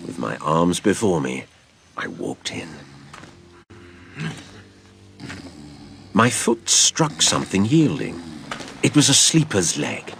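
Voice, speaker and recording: A man narrates as an adventurer looking back on his boyhood. His voice is deep and creaky, with dramatic swings of pitch. The delivery is literary, theatrical storytelling. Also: full character voice. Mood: suspenseful, hushed wonder.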